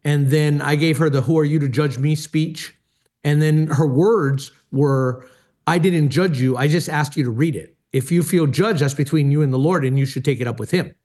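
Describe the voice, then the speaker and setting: A man's voice: on the high side, moderate pace, a little mellow. A middle-aged man from Hawaii, a former pastor, telling his story earnestly with frequent hesitations. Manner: earnest, many hesitations and fillers. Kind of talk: personal testimony in interview.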